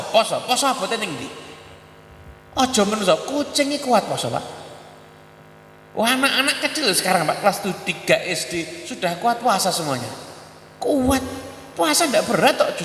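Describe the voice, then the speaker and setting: A Javanese-accented man in his thirties gives a casual, humorous sermon, slipping between languages and voicing the audience's questions. His voice is bright and a little high. It echoes around a large hall over a faint electrical hum. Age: 30s. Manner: jokey, mixes languages, acts out audience questions. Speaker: preacher. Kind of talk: Islamic sermon.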